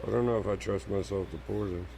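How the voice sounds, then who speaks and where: Gravelly low-voiced man in his forties. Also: steady tone, quick pace, dark tone, slight background noise. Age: 40s. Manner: exasperated, swearing, laughing. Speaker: podcast co-host. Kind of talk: casual drinking banter.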